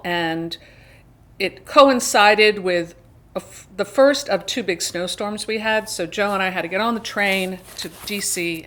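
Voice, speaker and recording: A woman's voice: lowish voice, fairly bright tone. A woman hosting a book vlog, chatting casually and expressively and sometimes reading aloud.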